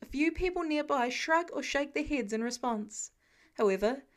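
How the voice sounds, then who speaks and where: A woman reading scripted fiction as an expressive audiobook-style narrator. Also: fairly high pitch. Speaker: podfic narrator.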